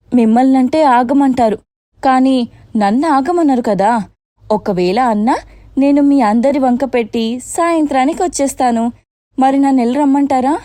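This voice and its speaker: A woman's voice: high-pitched, dark tone, no echo, studio quality, natural voice. A woman voicing a crafty young daughter-in-law in a cartoon moral story, coaxing and chatty.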